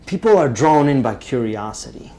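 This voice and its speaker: A man from Romania, teaching a live public speaking workshop, energetic and often checking in with the group. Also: mid-range voice, normal speed. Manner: energetic, checks in with "right?" constantly.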